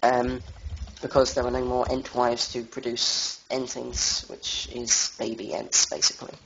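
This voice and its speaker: A young man with a cold giving an informal lore talk as a tour guide, halting and full of fillers. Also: medium pitch, monotone, creaky, a bit bright, slight background noise. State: has a cold. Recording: dull lo-fi voice chat audio.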